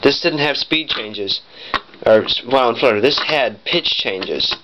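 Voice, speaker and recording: A man's voice: mid-range voice, lively intonation, measured pace. A man giving a casual, rambling product demonstration, often correcting himself as he goes. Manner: rambling, off-the-cuff, self-correcting. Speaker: vintage audio collector demonstrating equipment. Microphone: handheld camera. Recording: dull lo-fi camera mic with noise.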